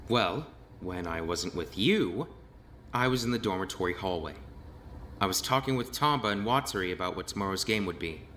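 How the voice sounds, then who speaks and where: An actor playing an uneasy, blunt young man investigating a murder in an animated mystery game, hesitant and easily agitated. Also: sits low, moderate pace, a little hiss or hum.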